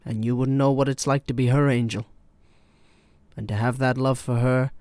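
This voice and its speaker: Male actor as weary, wounded therapist, film drama. Measured, pointed delivery, confrontational, close dry recording. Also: medium pitch, level tone, a little mellow, no room sound, lightly acted. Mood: tender, grave.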